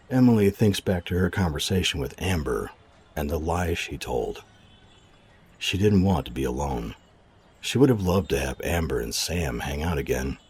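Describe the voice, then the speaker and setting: A man's voice: extremely low pitch, darkish tone, a little hiss or hum. A man narrating an audio drama in a scripted storytelling style, reading both story and credits. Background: music.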